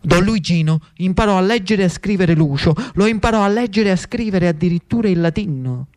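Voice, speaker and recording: A man's voice: high-pitched, animated, brisk, a little mellow. A man with a Neapolitan accent delivers a theatrical storytelling monologue, dramatic and lyrical, lingering on short repeated phrases. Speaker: actor-storyteller. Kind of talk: theatrical narrative monologue in Italian with dialect.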